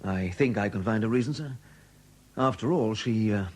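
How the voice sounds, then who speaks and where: A man with an English accent acting a calm, methodical detective superintendent in a 1970s radio drama. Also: fairly low pitch, moderate pace, natural voice. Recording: noisy 1970s radio broadcast recording.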